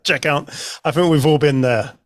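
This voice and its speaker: A man's voice: on the high side, normal speed, no room sound, studio quality. A man talking casually as a panellist on a podcast. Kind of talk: casual chat.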